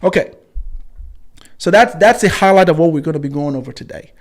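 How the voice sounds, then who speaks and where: A man in his forties hosting a live stream, combative and repetitive, with frequent fillers and pauses. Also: fairly high pitch, expressive. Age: 40s. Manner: combative, repeats himself for emphasis, frequent fillers. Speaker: channel host.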